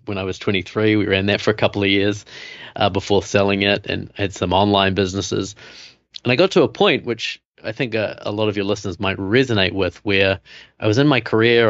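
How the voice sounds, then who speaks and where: A man in his thirties with a New Zealand accent, telling his story as a podcast guest in a relaxed way with many fillers. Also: lowish voice, no echo, highs cut off. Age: 30s. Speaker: guest, coach. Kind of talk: casual interview chat.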